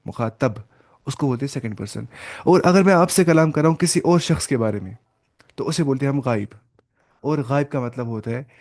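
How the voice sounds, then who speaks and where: A man of middle pitch teaching a class over a video call. He speaks patiently and repeats things, slowing down to sound out each example phrase. The sound is dry and close, with no room echo. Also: a little mellow. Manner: patient, repetitive explaining. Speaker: Arabic teacher. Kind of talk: online class lecture.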